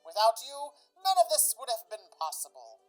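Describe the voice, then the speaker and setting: Woman as high, animated old-time radio announcer. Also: moderate pace, soft background music, rough recording. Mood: grand, presentational. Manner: theatrical, presentational. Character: showy radio announcer and storyteller narrator. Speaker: announcer and narrator.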